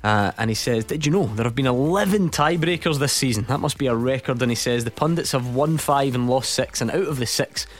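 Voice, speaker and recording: A man's voice: medium pitch, fairly quick, few pauses, soft background music. Wry male radio sports host. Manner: wry, teasing, reads out phone numbers. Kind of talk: radio sports phone-in hosting. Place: radio studio.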